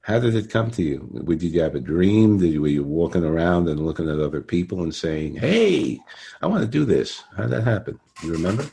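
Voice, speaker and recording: Low-voiced older New man interviewing. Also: animated, creaky, dark tone. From Brooklyn, New York. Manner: halting, repeats his phrases. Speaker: podcast interviewer. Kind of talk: conversational celebrity interview.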